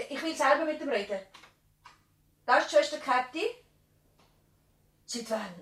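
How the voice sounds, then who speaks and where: A woman acting the part of a devoted, worried village nurse in a 1970s radio drama, speaking earnestly and politely. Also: high voice. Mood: urgent, alarmed. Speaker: actor in a radio play.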